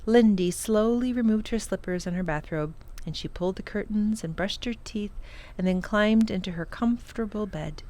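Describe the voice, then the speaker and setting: Female storytime reader, unhurried delivery, medium pitch, expressive narration for children. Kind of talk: reading a children's novel aloud.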